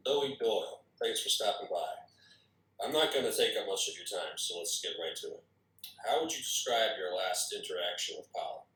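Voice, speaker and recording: Man acting polite male agent, low voice, direct, measured, echoey room. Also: animated, pushed and bright. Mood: businesslike. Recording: echoey, distant call audio.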